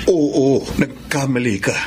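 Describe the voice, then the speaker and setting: A man's voice: medium pitch, slow pace, darkish tone, natural voice. A man playing a devoted suitor in a radio drama, speaking in an earnest, beseeching way. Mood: devoted, anxious. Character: devoted, pleading rejected suitor. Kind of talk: Tagalog radio drama acting. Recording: noisy radio drama recording.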